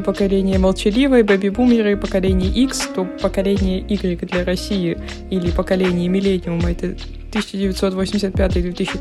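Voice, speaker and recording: A woman of twenty-one co-hosting a podcast, laying out facts in a matter-of-fact way. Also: medium pitch. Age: 20s. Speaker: podcast co-host. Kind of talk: casual chat.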